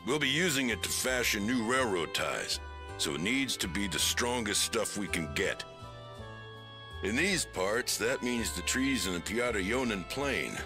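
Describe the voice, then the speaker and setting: An actor playing a practical, plain-spoken railway boss with a brisk, businesslike manner in a video game cutscene. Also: male voice, fairly low pitch, sing-song, music in the background.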